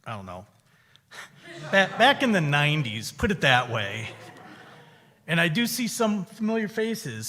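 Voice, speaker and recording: A middle-aged man, a veteran guest speaker, giving a measured, conversational reflection at a synagogue service. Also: fairly high pitch, a bit bright. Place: synagogue sanctuary. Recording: reverberant hall.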